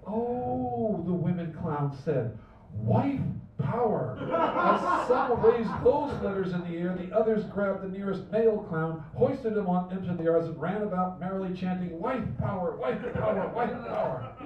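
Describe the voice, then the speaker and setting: An older man performs a poem at a cafe open mic. His voice sits a little high and turns theatrical, chanting lines and sinking to near whispers. He is captured from across a roomy cafe on a dull, distant livestream. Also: slight background noise. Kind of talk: poetry reading at an open mic. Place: small cafe at night, indoors. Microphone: stand mic from a far camera.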